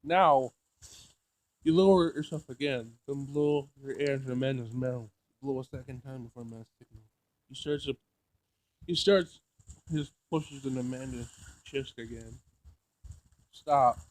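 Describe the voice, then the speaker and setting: A man playing through a visual novel, reading it aloud in an emotional, halting way with dramatic outbursts. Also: mid-range voice, darkish tone, rough recording. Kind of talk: let's play narration with loud reactions.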